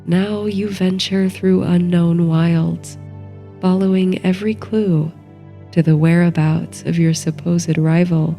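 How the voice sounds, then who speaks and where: A woman narrating a bedtime story as a meditation guide, in a gentle, soothing and measured manner. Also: on the low side. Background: soft background music.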